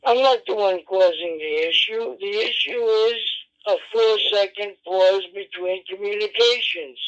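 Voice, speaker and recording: A man with a notably high voice speaks at a slow, deliberate pace. He is combative and repetitive, swearing as he argues. The audio is a dull, lo-fi radio transmission. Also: fairly bright tone. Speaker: amateur radio operator. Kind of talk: radio argument. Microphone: radio transceiver microphone.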